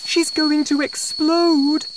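A woman voicing an anxious, exasperated mother in a storybook, crying out in a high voice. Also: very animated, slow pace, very noisy. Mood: accusing, alarmed. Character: anxious, exasperated mother, protective of her family.

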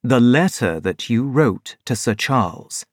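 Reader doing a measured detective, slow, in control, dry close sound. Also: male voice, medium pitch, no echo. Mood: calm. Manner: calm, measured, authoritative. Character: calm, commanding male detective.

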